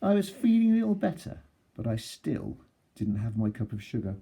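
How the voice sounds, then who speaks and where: A husky, mellow storybook wolf, acted out by a man reading aloud who pleads his own innocence. He is folksy and aggrieved, with pitch that swings in a lively, animated way. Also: normal speed, full character voice. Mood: mock-innocent storytelling. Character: self-justifying, folksy wolf telling his side. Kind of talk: expressive children's storybook read-aloud.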